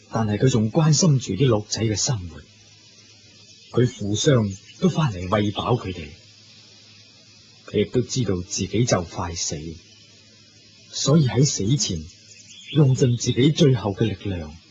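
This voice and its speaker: A man's voice: fairly low pitch, darkish tone. A man with a Hong Kong Cantonese accent playing a grave, compassionate hunting king in a Buddhist radio drama. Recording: dull lo-fi recording with faint noise.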